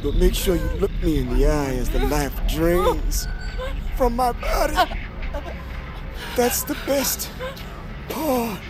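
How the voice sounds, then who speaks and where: Menacing corrupted father, middle-aged, bitter, swinging pitch under a loud score. Audio drama. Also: expressive, slow pace, pauses often, music in the background. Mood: menacing, cruel. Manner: intense, defiant, swearing. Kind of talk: audio fiction drama acting.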